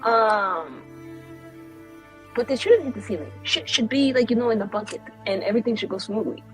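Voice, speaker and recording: A woman's voice: a little high, lively intonation, moderate pace. A woman improvising casual, colloquial in-character banter as a player in a tabletop roleplaying game. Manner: colloquial, rambling, jokey. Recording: music playing behind the voice. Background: background music.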